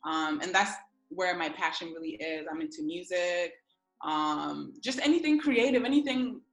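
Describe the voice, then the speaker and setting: A woman's voice: lowish voice, moderate pace, reverberant, soft background music. A woman in her twenties from Ghana, chatting casually as a guest over a video call, with lots of fillers and slang. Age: 20s. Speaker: guest, publicist.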